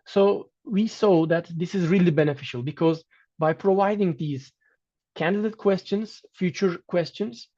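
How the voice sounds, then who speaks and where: A man's voice: high-pitched, dark tone, lo-fi sound. Turkish-native man lecturing in English. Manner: measured, with frequent restarts and fillers. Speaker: guest lecturer. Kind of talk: online academic lecture with slides.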